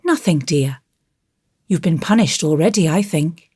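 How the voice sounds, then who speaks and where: A woman voicing a gentle, grave young woman who patiently comforts and admonishes a child in an audiobook. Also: low-pitched, animated, a little slow, breathy edge, no echo, clean studio sound, full character voice. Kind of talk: audiobook character voice.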